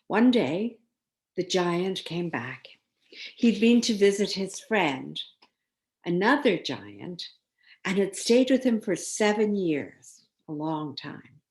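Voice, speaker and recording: Slow, expressive woman reading a children's story. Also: lowish voice. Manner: expressive, with dramatic emphasis. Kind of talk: children's story read aloud.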